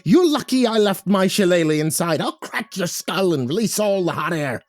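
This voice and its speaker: A man voicing a tiny, ancient male leprechaun in a storybook, foul-mouthed and cantankerous, shouting and growling indignant insults. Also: mid-range voice, fairly quick, slightly rough, dry recording, natural voice. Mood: menacing.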